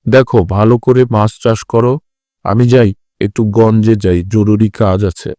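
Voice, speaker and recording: A man's voice: on the low side, fairly even intonation, a little slow, mellow, dry recording, lightly acted. A man voicing a greedy, scheming village landlord figure in a cartoon, with colloquial rustic speech. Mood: smug, casual. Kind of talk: Bengali cartoon voice acting.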